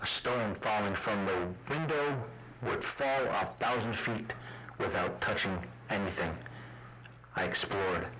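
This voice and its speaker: A man playing an uneasy traveller who narrates his captivity in a radio drama, with deliberate, suspenseful phrasing. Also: fairly low pitch, pushed and bright, soft background music, low-quality recording. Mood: trapped.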